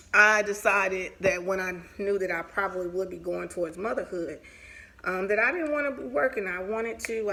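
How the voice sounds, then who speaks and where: Woman in her 40s just chatting through her story, candid, lots of vocal fry, bit of background noise. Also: medium pitch, a bit bright. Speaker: vlogger sharing her cancer story. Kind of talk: casual personal storytelling while getting ready.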